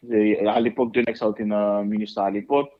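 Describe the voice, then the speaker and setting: A man with a Haitian accent speaking conversationally as an interview guest, telling stories in an animated, repetitive way. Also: fairly low pitch, a little fast, dull audio. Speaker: interview guest, air traffic controller.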